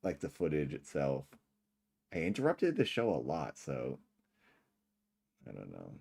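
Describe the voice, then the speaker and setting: A man's voice: a bass voice, wildly swooping pitch, a little slow, gravelly, darkish tone, slightly rough. A middle-aged man with a lisp, rambling through a livestream reaction with laughing asides. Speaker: livestream host.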